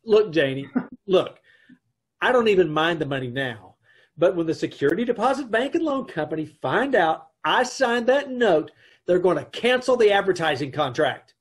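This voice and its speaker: Exasperated husband and narrator played by a man, old-time radio comedy, dry and blustering, very animated, husky voice. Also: high voice, moderate pace. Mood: alarmed. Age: middle-aged. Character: exasperated, sarcastic, self-mocking husband and narrator. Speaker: amateur radio troupe actor. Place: home room, on a video call. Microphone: video call.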